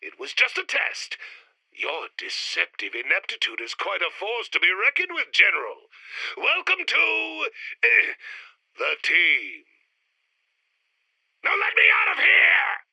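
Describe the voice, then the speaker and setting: An actor playing a vain, exasperated mad-scientist villain in a retro sci-fi radio serial, gravelly, pompous and sardonic. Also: male voice, high voice, wildly swooping pitch, slow, long pauses, sharp tone, no room sound, exaggerated voice. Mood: flustered exasperation.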